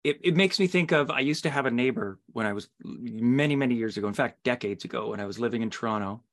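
A man's voice: medium pitch, fast. A middle-aged man hosting a podcast interview in a conversational style.

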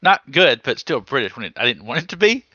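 A man's voice: medium pitch, big pitch swings, brisk, pushed and bright, no echo, dull audio. A man co-hosting a podcast, rambling and joking as he gives advice in casual chat. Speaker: podcast co-host.

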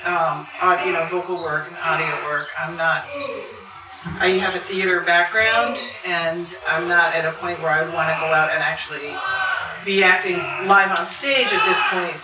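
A woman's voice: fairly low pitch, normal speed. A middle-aged woman talking casually as a panelist at a live convention, thinking aloud in a conversational way. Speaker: convention panelist and audio drama voice actor. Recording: roomy, distant mic, noisy live room.

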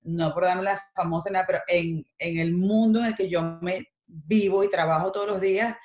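Woman, Venezuelan Spanish accent, middle-aged, lowish voice, animated guest, roomy echo. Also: moderate pace. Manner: animated, mixes in English words. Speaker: guest, makeup artist. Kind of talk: casual interview chat.

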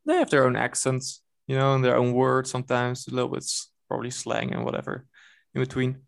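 A man's voice: medium pitch, fairly quick, creaky. A young Dutch man with a Dutch accent, talking casually and a bit hesitantly as a guest on a video call. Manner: relaxed, hesitant with fillers and restarts.

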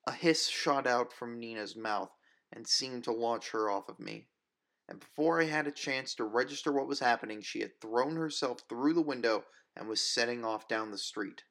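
A man's voice: medium pitch, normal speed, a bit bright. A man reading a story as narrator, in dramatic scripted first-person narration.

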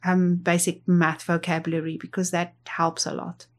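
A woman's voice: on the low side, completely flat delivery, normal speed. Woman. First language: Afrikaans. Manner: explanatory, thinks aloud with restarts. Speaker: guest, associate professor. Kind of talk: interview.

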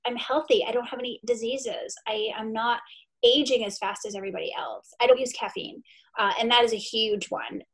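A woman's voice: fairly high pitch, steady tone, a little fast, a bit bright. A woman in her forties, a therapist and nutritionist, talking energetically and emphatically as a podcast guest. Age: 40s. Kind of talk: podcast interview.

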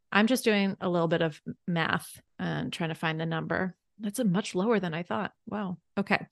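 A woman's voice: lowish voice, fairly bright tone, no echo, clean studio sound. A woman financial coach, a podcast guest, who explains things eagerly and step by step with worked examples. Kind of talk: casual podcast interview.

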